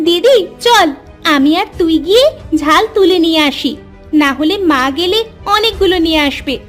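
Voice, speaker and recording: A woman voicing a rude, stingy young village girl in a cartoon, with sharp, scolding back-talk over music. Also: extremely high pitch, expressive, normal speed, voice pushed up. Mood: bossy. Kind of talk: cartoon character acting.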